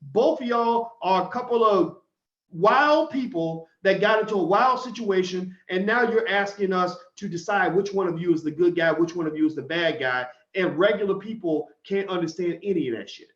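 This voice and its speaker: A man's voice: high voice, normal speed, a bit bright. A man hosting an opinionated commentary talk show, blunt and heated, often restarting his sentences. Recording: echoey room, dull lo-fi sound.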